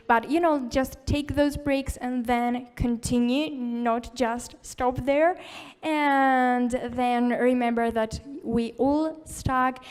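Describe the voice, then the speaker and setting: A woman's voice: on the high side, darkish tone, clean tone. A woman speaking at a tech conference, encouraging and informal, with plenty of "like" and "uh" fillers. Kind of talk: live conference talk. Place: conference hall.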